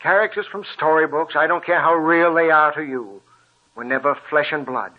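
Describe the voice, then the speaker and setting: An earnest doctor pleading with a friend, as a man plays him in an old radio drama. His voice sits a bit high and husky, heard through a dull, lo-fi broadcast. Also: normal speed, lightly acted. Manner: earnest, persuading. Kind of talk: 1940s radio drama acting.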